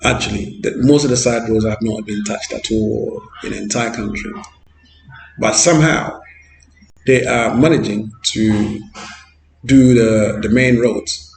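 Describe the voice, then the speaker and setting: A man's voice: lowish voice, steady tone, measured pace, creaky, echoey room, slight background noise, low-quality recording. A man from Ghana with a Ghanaian English accent, hosting a vlog on a street and talking earnestly with repeats and fillers. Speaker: vlogger. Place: outdoors on a street.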